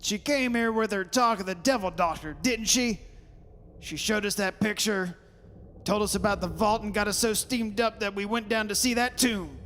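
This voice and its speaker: Man acting a stubborn blustering father, bright hard voice. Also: high-pitched, normal speed. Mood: accusing. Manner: insistent, argumentative. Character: stubborn sceptical father, proud and blustering, rationalising away terror. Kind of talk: old-time radio horror drama acting. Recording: hissy recording in a reverberant hall.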